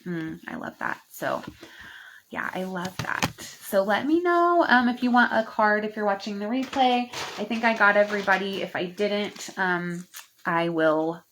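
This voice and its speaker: A woman's voice: mid-range voice, measured pace, a bit bright, slight background noise. A woman coach hosting a live stream, chatting to viewers with many fillers and reading oracle cards aloud. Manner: chatty, rambling, filler-heavy, affectionate. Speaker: spiritual mindset coach.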